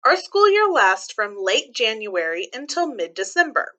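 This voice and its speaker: Bright-voiced woman reading aloud to children. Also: fairly high pitch, big pitch swings, measured pace, lo-fi sound. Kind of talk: read-aloud with simple explanations for children.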